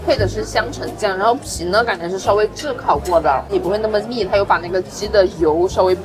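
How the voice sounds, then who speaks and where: A woman's voice: medium pitch, fast talker, over music. A woman in casual conversation, giving her impressions of food as a vlog companion. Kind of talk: casual chat.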